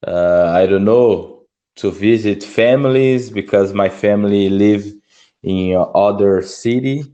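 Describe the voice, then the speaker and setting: Young Brazilian man, hesitant non-native English speaker, unhurried, lowish voice. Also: mellow, lo-fi sound. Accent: Brazilian Portuguese. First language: Portuguese. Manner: halting, searching for words. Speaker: English student. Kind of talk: online language lesson conversation.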